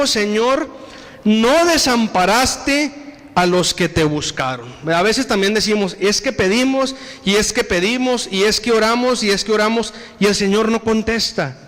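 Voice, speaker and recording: A man with a Mexican Spanish accent preaching a sermon. He addresses the congregation again and again and reads scripture slowly and with emphasis. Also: very high-pitched, fairly bright tone. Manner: preaching with repeated appeals to the congregation, slow emphatic scripture reading. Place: church hall. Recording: reverberant hall.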